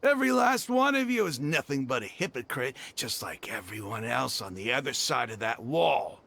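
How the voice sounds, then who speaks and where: An actor playing a proud, hostile, zealous desert mercenary leader in a video game, scornful and grandiose in a theatrical way. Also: male voice, medium pitch, animated, moderate pace, gravelly, bright, forceful tone, exaggerated voice. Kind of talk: dramatic video game voice acting. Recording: background music under the voice.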